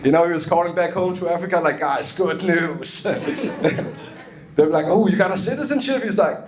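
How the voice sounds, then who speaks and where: A man's voice: high voice, moderate pace, crowd noise. A young man from Denmark with a Danish accent, doing a loose, chatty stand-up set on a club stage and laughing at his own jokes. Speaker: stand-up comedian.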